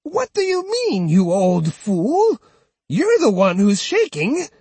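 A man reading an audiobook, voicing a spiteful, shrill old woman who taunts and scolds. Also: sing-song, takes it slowly, a little mellow, husky, no room sound, exaggerated voice. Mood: scornful. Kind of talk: audiobook character voice.

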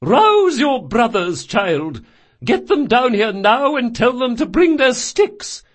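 Guy doing a bossy old granny shopkeeper, high strong voice, emphatic, fierce but motherly, audiobook. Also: wildly swooping pitch, unhurried, husky, exaggerated voice. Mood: furious, commanding. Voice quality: strong carrying voice. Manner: emphatic, commanding.

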